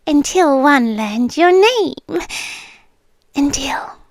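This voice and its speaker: A woman plays a female serpent monster in a yandere ASMR roleplay, British and seductively possessive. Her high voice moves extremely slowly and intimately, often dropping to a whisper with quiet menace. It sits very close and dry on the mic. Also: no room sound, full character voice. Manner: soft, slow, intimate, often slipping into whispers. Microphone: close microphone, dry.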